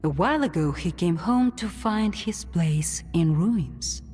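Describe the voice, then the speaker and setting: A woman acting as a composed, quietly menacing authority figure in a video game, controlled, deliberate and faintly ironic. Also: fairly low pitch, animated, slight background noise. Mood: composed, probing. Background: music.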